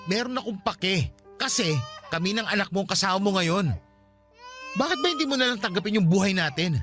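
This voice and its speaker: Hurt filipino dad in radio drama, reproachful, quick talker, bit of music under. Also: male voice, high voice, animated, a bit bright. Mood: hurt, pleading. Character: hurt, pleading young father confronting his cold partner.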